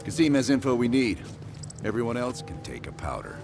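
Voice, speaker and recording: An actor playing a cool, gruff middle-aged American operative in a video game cutscene, clipped and commanding. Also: male voice, mid-range voice, huge pitch swings, brisk, music in the background. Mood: cool, dry.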